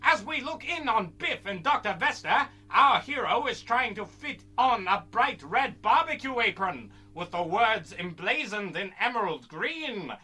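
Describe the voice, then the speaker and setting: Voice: mid-range voice, big pitch swings, a little slow, hardly any pauses, sharp tone, slightly rough, full character voice, low-quality recording. A performer playing a bombastic, melodramatic narrator in an old-time radio serial parody. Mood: mock-grand. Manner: melodramatic, grandiose.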